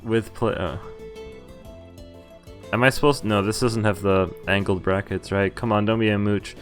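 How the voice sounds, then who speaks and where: A man's voice: on the low side, steady tone, moderate pace. A man reads a visual novel aloud over game music. He slips between reading the script and chatty, informal asides of his own, joking as he goes. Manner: informal, breaks off reading to joke and comment. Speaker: streamer playing a visual novel.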